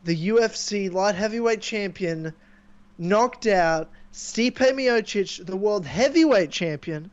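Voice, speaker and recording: High-voiced man with a sore throat. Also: slow, dry recording. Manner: excitable, rambling storyteller. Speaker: podcast host. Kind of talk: casual chat. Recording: dull lo-fi mic.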